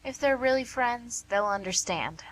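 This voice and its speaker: A woman's voice: a little high, expressive, normal speed, lightly acted, rough recording. A woman playing a plain-spoken, caring but pushy nurse in a radio play, persuasive and folksy. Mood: reassuring. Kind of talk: amateur radio theatre acting.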